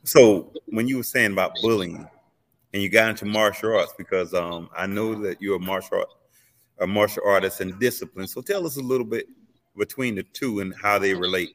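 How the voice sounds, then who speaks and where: A man's voice: fairly low pitch, brisk. A man hosting an interview, asking questions hesitantly with many fillers. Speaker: interview host. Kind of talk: interview conversation. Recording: long hall reverb.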